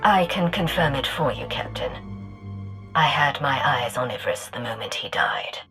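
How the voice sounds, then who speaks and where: An actress playing a cold, commanding, quietly menacing Imperial agent in a video game cutscene. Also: female voice, very deep voice, lively intonation, moderate pace, faint music behind, exaggerated voice. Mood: taunting, controlled.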